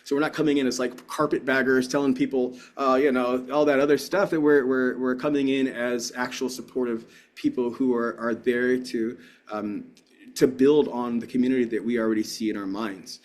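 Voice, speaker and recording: A man's voice: mid-range voice, level tone, normal speed. Earnest rambling male keynote speaker. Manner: earnest, rambling, with frequent restarts. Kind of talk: answering audience questions.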